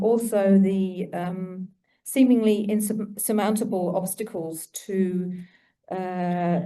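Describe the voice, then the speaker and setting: A woman giving an informal group report at a conference, halting and searching for her words as she goes. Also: medium pitch, mellow. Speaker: conference participant reporting back from a discussion group. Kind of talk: informal spoken summary to a plenary. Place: conference hall, live talk.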